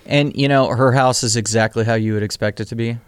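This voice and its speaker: A man's voice: medium pitch, steady tone, fast talker, clean tone. An American man co-hosting a podcast in casual, conversational chat. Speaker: podcast co-host.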